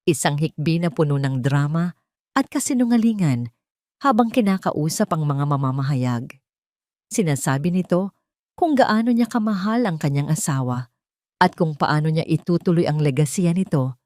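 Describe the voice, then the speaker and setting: A woman's voice: fairly low pitch, darkish tone, no echo, clean studio sound. A woman with a Filipino accent narrating a scripted dramatic audio story in a solemn, emotive way.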